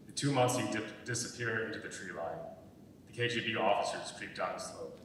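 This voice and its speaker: Male narrator, scripted stage directions: sharp, low voice, recorded in a very echoey hall with faint noise. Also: brisk. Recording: echoey hall, distant mic, faint rumble.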